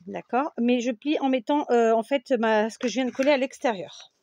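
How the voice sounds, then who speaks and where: A woman's voice: fairly high pitch, normal speed, darkish tone. A French woman hosting a casual craft tutorial, chatting and often checking in with her viewers with "d'accord?". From France. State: runny nose. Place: home craft table with a fan running.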